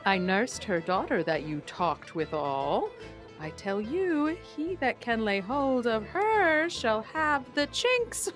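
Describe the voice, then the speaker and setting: A woman's voice: fairly high pitch, big pitch swings, slow pace, faint music behind. A woman playing an older, chatty, bawdy, doting family nurse in a classical Shakespearean style. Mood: gossipy relish. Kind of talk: classical Shakespearean stage acting.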